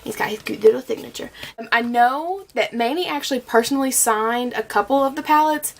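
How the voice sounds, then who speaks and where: A woman's voice: on the high side, brisk, gravelly. Chatty young woman with a cold. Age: young adult. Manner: chatty and gushing, with many ums and likes. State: has a cold, a bit scratchy. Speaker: beauty vlogger. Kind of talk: casual product review.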